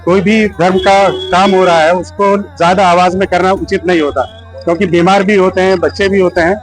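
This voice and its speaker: Male interviewee, doctor type, high register, formal halting explanation, soft music bed. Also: flat delivery, rough recording. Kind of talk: measured spontaneous interview statement.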